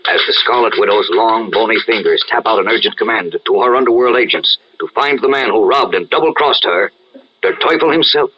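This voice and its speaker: A man's voice: on the low side, brisk. An American man narrating dramatically as an announcer in 1940s radio style. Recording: muffled vintage radio recording.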